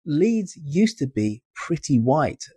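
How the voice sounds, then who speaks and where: A man's voice: fairly high pitch, sing-song, slow, mellow, husky, no room sound. A man hosting a live podcast discussion, asking swooping, theatrical rhetorical questions. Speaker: podcast host. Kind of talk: live audio-room discussion.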